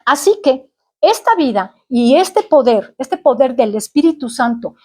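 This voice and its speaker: A woman preacher from Mexico City with a Mexican Spanish accent, delivering a sermon. Her voice sits high, and she teaches earnestly, pausing on fillers and checking that her listeners follow. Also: animated, darkish tone, husky. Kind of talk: sermon to a congregation.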